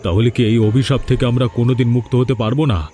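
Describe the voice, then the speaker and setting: A man's voice: mid-range voice, steady tone, quick pace, warm tone, close to an everyday voice. A man voicing an anxious, scheming village man in a cartoon fairy tale. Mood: distressed. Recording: background music under dialogue.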